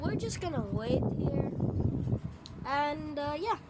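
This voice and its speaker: Woman, high voice, slow unhurried talk, sick and a bit off, rambling casual game commentary, loud background noise. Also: expressive. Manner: loose and rambling, full of "like" and restarts. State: sick, voice sounds off. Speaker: mobile game player. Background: TV playing in the background.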